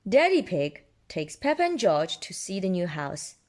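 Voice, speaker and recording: A woman storyteller reading children's picture books aloud in an expressive, deliberate way, adding her own small asides. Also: fairly low pitch. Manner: expressive, deliberate delivery for toddlers. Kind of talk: children's read-aloud narration with ad-libbed asides.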